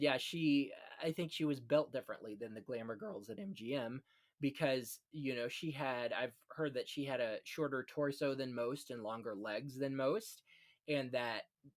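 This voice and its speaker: A man with a mid-pitched voice hosts a relaxed discussion of film history. He talks effusively and with plenty of opinion, moving from one anecdote to the next. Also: normal speed. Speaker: podcast host on classic Hollywood films.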